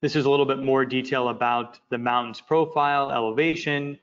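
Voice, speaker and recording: A man walking through presentation slides for an investment project, talking in a halting way with many fillers and repeated words. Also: medium pitch, fairly even intonation, fairly bright tone, clear, resonant tone. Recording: muffled lo-fi audio.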